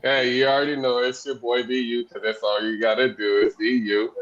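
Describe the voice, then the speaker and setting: A man's voice: medium pitch, little pitch movement, brisk, fairly bright tone. A man co-hosting a podcast, asking questions with many fillers and restarts. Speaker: podcast co-host. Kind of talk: casual interview. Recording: dull lo-fi call audio.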